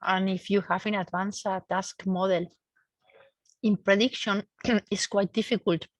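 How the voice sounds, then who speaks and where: A woman's voice: mid-range voice, level tone, a little slow, no room sound, rough recording. A woman research engineer giving a technical explanation in a seminar, searching for words and often repeating them as she goes.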